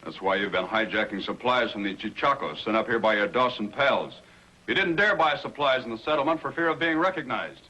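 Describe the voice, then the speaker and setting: A man's voice: mid-range voice, animated, a little fast, sharp tone, slightly rough, poor audio. A man playing a stern, righteous Mountie sergeant in a 1950s television adventure, commanding and formal. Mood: stern, accusing.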